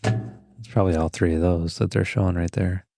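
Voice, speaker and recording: Low-voiced man chatting sports. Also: steady tone, normal speed, creaky, dark tone, faint music behind. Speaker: podcast co-host. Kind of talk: casual sports chat.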